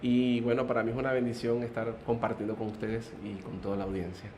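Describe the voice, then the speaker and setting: A man's voice: medium pitch, flat delivery, quick pace, darkish tone, slight background noise. Man with a Colombian coastal accent. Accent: Colombian Caribbean coast. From Barranquilla, Colombia. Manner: hesitant, many "eh" fillers, good-humored. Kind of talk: testimony in interview.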